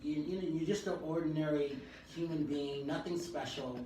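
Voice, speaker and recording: A man's voice: a little high, warm tone. Man hosting in an echoey room. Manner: brisk broadcast intro, teasing banter. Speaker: show host. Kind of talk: talk show round-table interview. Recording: echoey room, mic far off, noisy.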